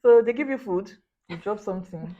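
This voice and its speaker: A woman's voice: mid-range voice, fairly quick, narrow, tinny audio. A young woman with a Nigerian accent in a casual chat, blunt and matter-of-fact, slipping into pidgin. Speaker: guest.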